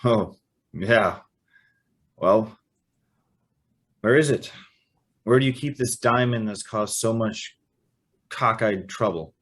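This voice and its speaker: A man's voice: lowish voice, takes it slowly, long pauses, a bit bright. A man acting a bewildered, earnest young man who tells and lives his story in a radio drama, a little hesitant. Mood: incredulous. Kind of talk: old-time radio drama acting and first-person narration.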